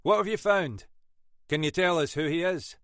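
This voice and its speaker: A man's voice: fairly high pitch, moderate pace, a bit bright, smooth, dry recording, studio quality, pitched above the performer's own voice. A man voicing an older detective inspector with a wry, teasing way of talking.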